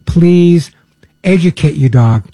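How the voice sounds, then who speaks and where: Older guy on pet radio, chatty and earnest, warm low-key voice, kinda husky. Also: takes it slowly, no room sound. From New York. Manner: chatty, repeats words, earnest pleading. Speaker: radio pet show host. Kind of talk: casual talk radio monologue.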